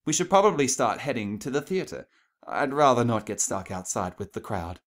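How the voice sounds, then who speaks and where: A man's voice: medium pitch, very animated, moderate pace, pitched above the performer's own voice, lightly acted. A man voicing an elegant, refined female unicorn in a storybook reading, with a genteel, affected manner. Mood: hurried. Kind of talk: storybook character voice in fan fiction reading.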